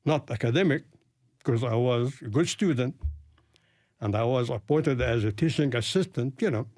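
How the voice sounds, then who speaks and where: Elderly male interviewee, Arabic accent, deliberate storyteller with a mid-pitched voice, recorded dry. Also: a little mellow, dry recording. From Palestine, grew up in Lebanon. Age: 80s.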